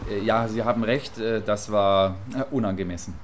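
An actor playing a polite, deferential radio reporter at the scene in a radio play, chatty and a little hesitant. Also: male voice, lowish voice, fairly even intonation, normal speed, slight background noise, close to an everyday voice. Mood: apologetic. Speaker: field reporter. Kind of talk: mock live radio report, scripted radio play.

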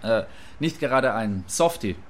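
A man playing a stubborn, musing radio field reporter, hesitant and measured, in a satirical radio play. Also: medium pitch, lively intonation, a little hiss or hum, natural voice. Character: musing male field reporter, secretly admiring, stubbornly defensive.

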